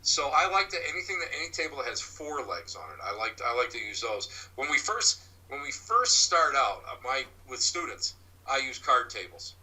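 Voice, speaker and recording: A man with a loud voice, answering interview questions about his paranormal work in a rambling way, full of restarts and fillers. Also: mid-range voice, big pitch swings, normal speed, poor audio. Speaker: paranormal investigator and medium. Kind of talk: interview guest, casual explaining.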